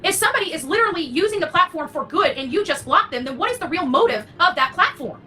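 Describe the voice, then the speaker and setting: A woman's voice: extremely high pitch, animated, fast talker, sharp tone. A woman delivering an impassioned, blunt rant to an online audience, indignant and emphatic, often swearing. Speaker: online true crime content creator. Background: background music.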